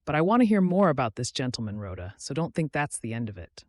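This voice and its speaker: A man voicing a warm, eager young woman with an affectionate, earnest manner in an audiobook. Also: mid-range voice, big pitch swings, quick pace, dry recording, clean studio sound, natural voice. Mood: teasing.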